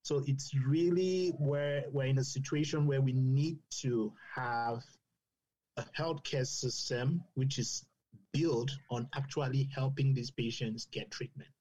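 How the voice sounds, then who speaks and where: A man from Cameroon, a doctor speaking as a guest in a panel discussion, passionate and measured, often repeating phrases for emphasis. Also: mid-range voice, fairly even intonation, darkish tone, rough recording.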